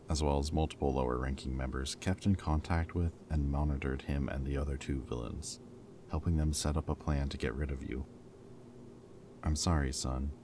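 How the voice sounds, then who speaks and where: A man playing a protective, teasing former villain in an audio roleplay, with a wry, casual, halting delivery. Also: a bass voice, darkish tone, slight background noise. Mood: serious, subdued.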